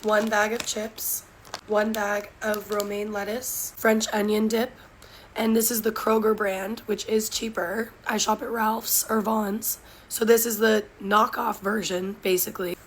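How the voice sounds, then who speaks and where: A hoarse woman who has lost her voice, chatting incredulously through a grocery haul. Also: mid-range voice, flat delivery, measured pace, a little hiss or hum, poor audio.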